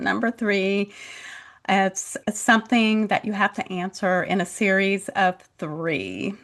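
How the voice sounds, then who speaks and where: A middle-aged woman hosting an interview, speaking politely and deliberately with a deaf accent. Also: mid-range voice, gravelly, a bit bright. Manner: slow, deliberate, polite, with frequent laughs. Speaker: podcast host. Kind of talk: casual interview.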